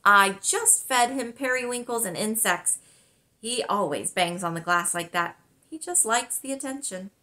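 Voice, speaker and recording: Woman playing a welcoming, bright-voiced folksy grandmother. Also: medium pitch, measured pace, pitched above the performer's own voice. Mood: breezy. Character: warm, welcoming grandmother, proud island nature guide.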